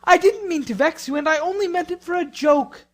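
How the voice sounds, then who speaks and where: A woman's voice: very high-pitched, a little fast. A woman playing an earnest, proud teenage boy in an audiobook dramatic reading. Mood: eager, apologetic.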